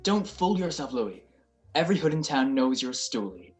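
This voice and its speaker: A man's voice: medium pitch, big pitch swings, normal speed, faint music behind, lightly acted. A man playing a tough, vengeful detective in an old radio-style crime drama, curt and threatening. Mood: curt, menacing. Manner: curt, threatening, hard-boiled. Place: recorded at home over a video call.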